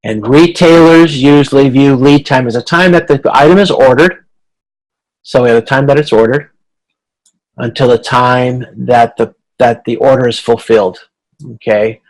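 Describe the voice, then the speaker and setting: A man's voice: mid-range voice, normal speed, a little mellow, rough recording. A man with a mid-range voice teaches as an instructor in an informal online lecture. He explains step by step, often restarting phrases and repeating words as he goes. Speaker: college instructor. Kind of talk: video conference lecture explaining slides, informal.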